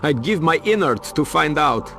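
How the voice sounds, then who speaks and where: An actor playing an eager, jittery reporter in a video game cut-scene, informal and animated, with a creaky edge. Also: male voice, a little high, normal speed, soft background music. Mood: uneasy, curious. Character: eager, jittery male reporter hungry for a scoop.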